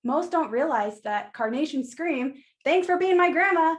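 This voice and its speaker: A woman playing a sassy, blunt, no-nonsense flower shop keeper in a radio play, brisk, wry and teasing in manner. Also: high voice, animated. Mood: advising.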